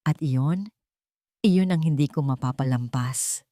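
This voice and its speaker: A woman's voice: low-pitched, a little slow, mellow, no echo, studio quality. A woman playing a venomous, taunting villainess. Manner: taunting, menacing. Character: vengeful, venomous scheming fiancée, villainess. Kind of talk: dramatic audio story acting.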